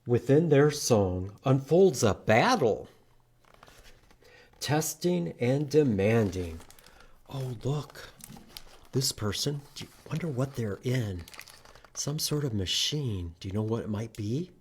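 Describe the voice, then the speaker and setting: Animated, slow-paced man reading storytime. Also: medium pitch, a little hiss or hum. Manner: expressive, animated, asks listeners to join in. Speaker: children's storytime reader at a library. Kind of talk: read-aloud storytime with singing and prompts to children.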